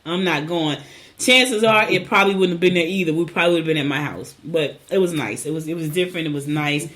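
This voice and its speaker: A middle-aged woman from New Jersey hosting a morning show, her voice raspy and scratchy as she rambles through personal stories. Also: deep voice, quick pace. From Newark, New Jersey. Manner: rambling, chatty, warm personal storytelling. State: hoarse, clearing throat. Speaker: podcast host. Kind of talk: casual morning show chat.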